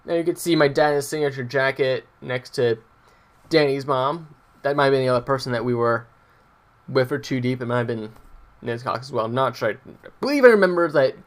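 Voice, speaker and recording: A man's voice: medium pitch, fairly quick. A young adult man telling personal stories casually as a vlogger, hesitant and meandering, often breaking off mid-sentence. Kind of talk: casual rambling personal storytelling.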